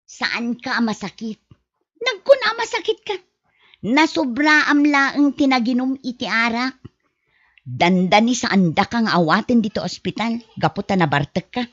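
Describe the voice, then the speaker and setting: A woman's voice: a little high, lively intonation, measured pace, no room sound. A woman with a Filipino accent acting a worried, scolding family woman in an audio drama. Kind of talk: amateur Ilocano radio-style drama acting. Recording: dull, roomless lo-fi audio.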